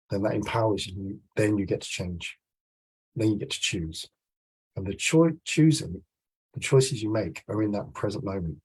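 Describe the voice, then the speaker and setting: A British man in his forties, congested with a cold, gives an informal, explanatory coaching talk on a video call, with frequent pauses and a husky voice. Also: on the low side, a little mellow, poor audio. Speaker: swimming coach. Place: small room at home, indoors. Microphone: video call, computer mic.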